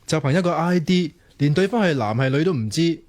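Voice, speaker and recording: Earnest, lovelorn young Hong Kong student. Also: male voice, fairly high pitch, animated, fairly quick, darkish tone. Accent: Hong Kong Cantonese. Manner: sighing, wry, self-mocking. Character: lovelorn, earnest young male student programmer, self-deprecating. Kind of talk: Cantonese radio drama dialogue.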